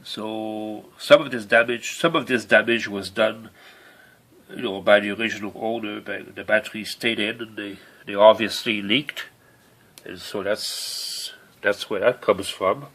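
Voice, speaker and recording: A middle-aged man narrating an unscripted hands-on demonstration as a hobbyist, halting and rambling as he thinks aloud. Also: lowish voice, fairly even intonation, fairly bright tone. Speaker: hobbyist showing his broken tape recorder. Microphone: handheld camera or phone mic.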